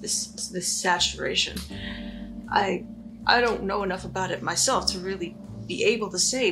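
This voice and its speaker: A woman playing a blunt, wary female traveler who talks in a halting, informal way. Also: mid-range voice, creaky, lightly acted, low-quality recording. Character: blunt, wary, hungry female ship passenger.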